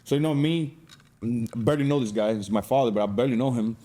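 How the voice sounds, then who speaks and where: A man's voice: mid-range voice, fast, mellow. A young man from Cuba telling his personal story as a podcast guest in a casual, streetwise way, with slang and swearing.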